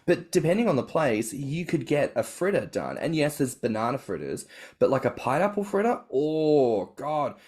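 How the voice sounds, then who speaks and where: A man with an Australian accent, hosting a casual podcast and talking with eager, animated enthusiasm. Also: fairly high pitch, moderate pace. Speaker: podcast host.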